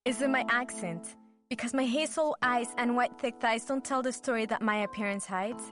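A woman reciting spoken-word poetry in a slow, deliberate and emphatic way. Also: fairly high pitch, fairly bright tone. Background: music.

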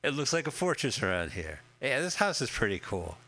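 A man's voice: medium pitch, big pitch swings, fairly quick, a loud crowd. A man co-hosting a podcast, chatting casually and jokingly about a film as it plays, swearing often and rambling. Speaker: podcast co-host. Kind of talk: casual movie commentary chat.

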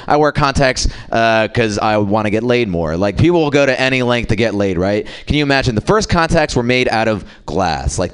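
Man, stand-up comic, smooth clear voice, rambling, self-deprecating, small club. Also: mid-range voice. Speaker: stand-up comedian. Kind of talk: open-mic stand-up set.

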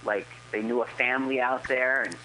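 A man's voice: mid-range voice, steady tone, normal speed, pushed and bright, slight background noise, poor audio. A man co-hosting a radio show, playful and joking while watching a movie. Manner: playful, mock movie quotes. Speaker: radio co-host. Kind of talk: casual movie-watching chat.

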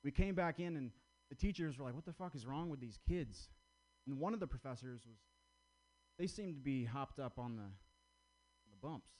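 A man's voice: medium pitch, animated, normal speed, a little mellow, rough recording. A man closing a stand-up set with a rambling, crude story. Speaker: stand-up comedian.

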